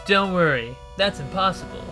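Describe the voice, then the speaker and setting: A man voicing a perceptive, caring young male second mate who speaks earnestly and gently. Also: high-pitched, expressive, normal speed, music in the background, low-quality recording. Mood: soothing.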